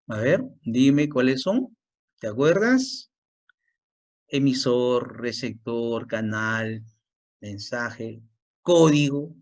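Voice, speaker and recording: A man's voice: medium pitch, a little mellow. A male teacher giving a language lesson, repeating key points and quizzing the student with questions. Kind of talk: classroom lecture.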